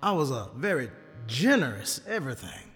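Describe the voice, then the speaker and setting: An actor playing a boastful, roguish old former mage in a sitcom audio drama, talking in a folksy, self-important way. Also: male voice, medium pitch, big pitch swings, a bit bright, in a large hall, soft background music. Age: older. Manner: folksy, unhurried, self-aggrandizing.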